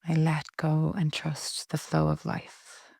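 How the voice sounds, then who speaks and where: A woman leading a guided relaxation meditation in a soft, breathy, soothing way with long pauses. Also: sits low, completely flat delivery, no echo. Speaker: yoga nidra meditation guide.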